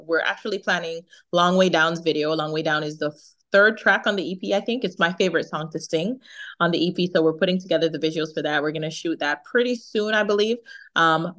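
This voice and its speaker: Jamaican woman, lowish voice, chatty, laughs a lot, repeats herself, sounds like a video call. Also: fairly bright tone. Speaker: singer, interview guest. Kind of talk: casual interview chat.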